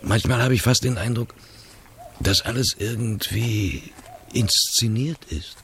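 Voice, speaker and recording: A man playing a put-upon secretary in a radio play, husky, hesitant and guarded, with bitterness under his politeness. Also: lowish voice, measured pace, fairly bright tone, a little hiss or hum. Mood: brooding. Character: resentful, put-upon male secretary to a novelist.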